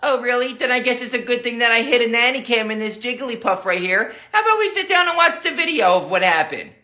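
A woman voicing a feisty, doting grandmother in a comedy skit, brisk and scolding, with drawn-out greetings. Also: fairly high pitch, animated, bright, forceful tone. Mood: sly.

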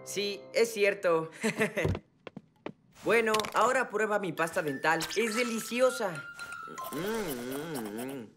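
A woman's voice: medium pitch, expressive, takes it slowly. A woman voicing a cheerful, eager young boy rabbit in a children's cartoon, with a Latin American Spanish accent and a playful manner. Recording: music behind the voice.

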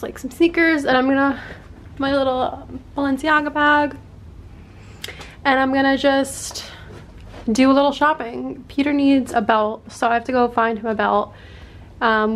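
Young woman vlogging on a handheld cam, kinda high voice, rambly "like" fillers, faint noise behind. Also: little pitch movement, moderate pace. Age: young adult. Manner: chatty, rambling, lots of "like" fillers. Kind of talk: casual travel vlog chat. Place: small hotel room. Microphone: handheld camera at arm's length.

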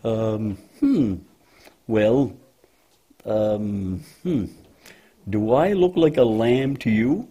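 A man voicing a patient, motherly female cow with a deep voice in a children's church puppet show, gently and hesitantly explaining things. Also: extremely slow, long pauses, husky, exaggerated voice.

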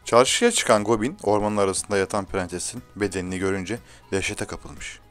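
A man's voice: on the low side, animated, normal speed, faint music behind. A man reading a scripted fairy tale to children as a storyteller.

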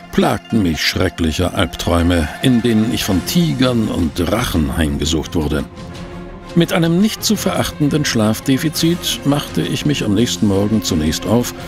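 A man's voice: lowish voice, vocal fry. A man playing a wry, insistent newspaper reporter in a German audio drama. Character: brisk, wry male newspaper reporter and sidekick. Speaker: narrator and character actor. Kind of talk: German audio drama acting and first-person narration.

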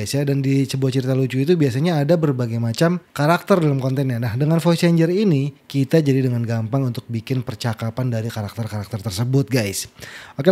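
A man, hosting a casual, chatty software tutorial into a close microphone. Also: medium pitch. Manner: chatty, frequent "guys" and "ya" tags. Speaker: tutorial host. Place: small indoor home studio. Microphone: close desk microphone.

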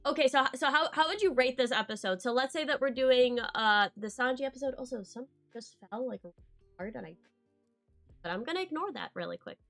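A woman's voice: sits high, expressive, fairly quick, fairly bright tone, soft background music. A young woman talking casually on a live stream, analytical and full of filler sounds as she weighs an anime episode. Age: young adult. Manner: analytical, lots of uh fillers and trailing off. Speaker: co-host reacting to an anime episode. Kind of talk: casual chat. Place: home streaming setup, indoors.

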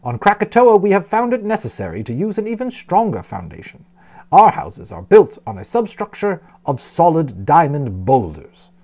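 Man playing a high-pitched, formal gentleman host. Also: huge pitch swings, moderate pace, exaggerated voice. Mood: proud, inviting. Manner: formal, declamatory. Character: gracious gentleman islander proudly explaining and announcing, hospitable. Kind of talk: storybook character voice in a read-aloud.

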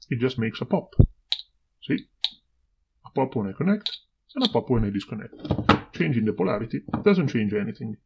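A man with a husky, slightly gravelly voice presenting an informal hands-on electronics explanation. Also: medium pitch, darkish tone, slight background noise. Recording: dull, lo-fi home video audio.

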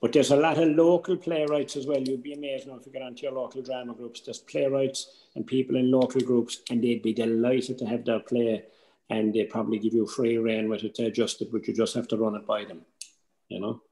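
Irish male, mid-pitched, informal workshop speaker, video call with noticeable room echo. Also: flat delivery, a little fast, warm tone. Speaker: workshop speaker on radio drama. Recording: roomy, echoing video-call sound.

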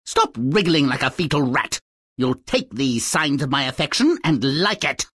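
A woman playing a vain, cruel evil queen in a video game, with a husky voice and an imperious, scornful delivery. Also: very deep voice, sing-song, moderate pace, a bit bright, no room sound, studio quality, heavily acted. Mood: commanding. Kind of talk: video game character acting.

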